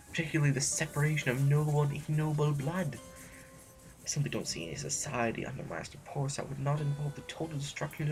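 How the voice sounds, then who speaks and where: An actor playing a fussy, timid, snobbish aristocratic politician in an audio drama, wordy and pompous with a stammer. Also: male voice, a little high, lightly acted, rough recording. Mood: haughty.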